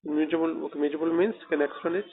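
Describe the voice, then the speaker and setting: A man's voice: fairly high pitch, fairly even intonation, racing, vocal fry, husky. A man with an Indian accent conducting a technical job interview by telephone, pressing with probing, repeated questions. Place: phone call. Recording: tinny narrowband telephone line.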